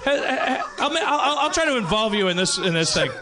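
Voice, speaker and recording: A man hosting a comedic talk podcast in a rambling, halting way, often stammering and repeating words. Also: very high voice, big pitch swings. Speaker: podcast host.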